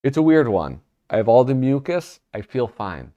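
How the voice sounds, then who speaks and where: A man's voice: medium pitch, big pitch swings, normal speed, dark tone, lo-fi sound. A man hosting his own magazine review channel, chatty and self-deprecating, sounding nasal from a cold. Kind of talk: casual solo review vlog.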